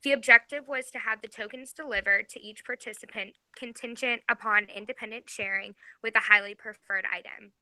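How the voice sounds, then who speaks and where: A woman in her twenties reading a class research presentation from a script, careful and even. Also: fairly high pitch, brisk, hardly any pauses, dry recording, rough recording. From Georgia, USA. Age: 20s. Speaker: graduate student presenter.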